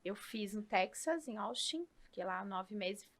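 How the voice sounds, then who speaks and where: A woman, telling her career story as a podcast guest in lively casual chat with quick laughs. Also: medium pitch. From Rio Claro, São Paulo state, Brazil. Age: 40s.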